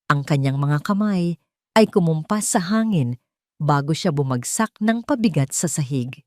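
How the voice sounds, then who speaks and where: A woman's voice: low-pitched, animated, a little slow, a little mellow, no room sound, studio quality. A woman with a Filipino accent reading dramatic first-person scripted narration as a storyteller.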